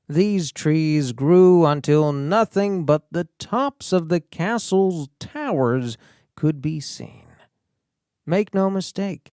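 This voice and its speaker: A male storybook narrator with a fairly high voice that swings widely in pitch. He reads scripted fairy-tale prose slowly and theatrically, leaving dramatic pauses. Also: darkish tone, no room sound, studio quality. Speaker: audiobook narrator.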